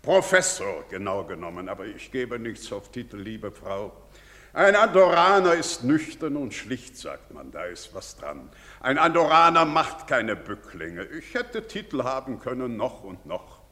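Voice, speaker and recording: An actor playing a pompous, self-important, bigoted middle-aged village doctor, declaiming in a theatrical stage manner. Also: male voice, medium pitch, big pitch swings, unhurried, pushed and bright. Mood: boastful. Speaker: stage actor in a broadcast drama. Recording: reverberant theatre stage recording.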